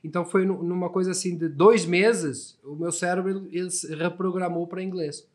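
Fast-talking Portuguese man with European accent. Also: high-pitched, steady tone. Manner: chatty, many restarts and tag questions. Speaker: podcast guest. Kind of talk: casual storytelling conversation.

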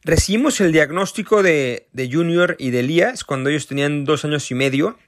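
A man in his thirties with a Mexican accent, speaking in a recorded video message as a parent and association founder, earnest and thoughtful, pausing often to find his words. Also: a little high, dry recording, clean studio sound. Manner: earnest, thoughtful, with frequent hesitations.

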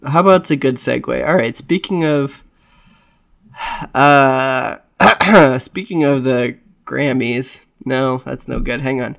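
A man's voice: medium pitch, slow pace, vocal fry, fairly bright tone. A man co-hosting a podcast in casual chat, rambling with frequent restarts and filler words. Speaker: podcast co-host.

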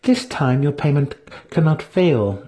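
Man voicing an imperious high-pitched dark elf priestess. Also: lively intonation, measured pace. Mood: haughty, bargaining. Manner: commanding, haughty.

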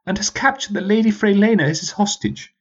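A man's voice: high-pitched, fairly quick, highs cut off, pitched above the performer's own voice. A man voicing a pompous, blustering army sergeant who stammers through grand speeches. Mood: rousing, pompous.

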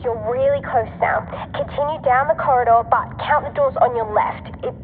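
A woman's voice: high voice, steady tone, moderate pace, narrow, tinny audio. A woman playing a cheeky, scatterbrained girl tech helper in a sci-fi audio drama, speaking playfully through a radio intercom filter. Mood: helpful, brisk. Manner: playful, flippant. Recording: thin radio line, noisy, long reverb.

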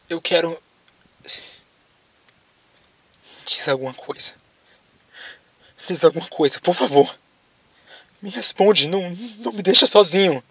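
A man acting in an audio drama as a lonely, frightened apocalypse survivor with a Brazilian accent, speaking haltingly as if on a phone call. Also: fairly high pitch, a bit bright, slightly rough, far from the mic, exaggerated voice. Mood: desperate pleading. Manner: hesitant, halting, repeats words.

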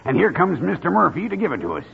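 An American man playing a smug, boastful, crooked villain with a gravelly voice in a radio Western. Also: high-pitched, huge pitch swings, fast, exaggerated voice. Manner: sneering, boastful. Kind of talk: old-time radio Western acting. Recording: tinny, hissy old radio recording.

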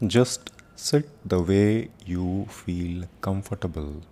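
A man leading a guided meditation in a solemn, deliberate manner, speaking in short phrases with long pauses between them. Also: sits low, mellow. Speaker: meditation teacher and podcast host. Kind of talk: guided meditation with prayerful invocation.

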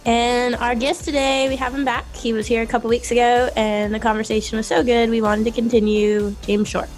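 A woman hosts a casual tech podcast panel with a fairly high voice. She is a little hoarse from being sick, and she keeps the panel moving with friendly, quick introductions. Faint music sits behind her. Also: little pitch movement. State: hoarse from illness. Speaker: podcast host.

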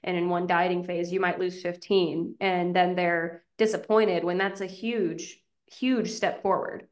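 Low, flat-voiced woman co-host. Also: unhurried. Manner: conversational, trailing restarts. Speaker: podcast co-host. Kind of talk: casual advice chat.

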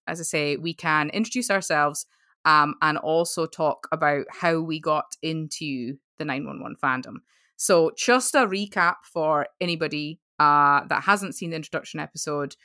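A woman hosts a casual fan podcast in a low, steady voice. She talks in an effusive, chatty way. The sound is dry and close. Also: dry recording. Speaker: podcast host.

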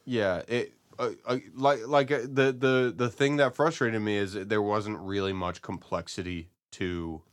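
A man co-hosting a podcast, discussing and reviewing in a casual, halting, analytical way. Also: lowish voice, steady tone, clear, resonant tone. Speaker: podcast co-host.

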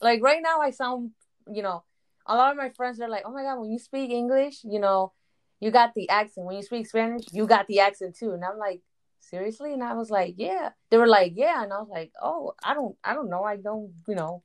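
A woman's voice: on the high side, fairly quick. Chatty woman, rambling. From United States. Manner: rambling, heavy with "you know". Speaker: aspiring wrestler, guest. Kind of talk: casual interview conversation.

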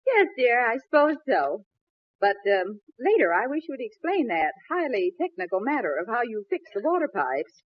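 A woman's voice: fairly high pitch, sing-song, normal speed. A woman playing a long-suffering, doubting middle-aged wife and mother in a 1940s radio comedy. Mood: skeptical. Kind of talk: 1940s radio sitcom acting. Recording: muffled, hissy, distant 1940s radio broadcast.

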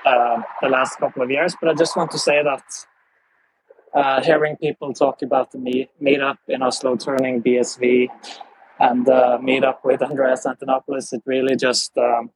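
A man's voice: medium pitch, level tone, moderate pace, vocal fry. A man from Norway, speaking earnestly and eagerly from beside a noisy road. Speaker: audience member. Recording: faint road noise behind.

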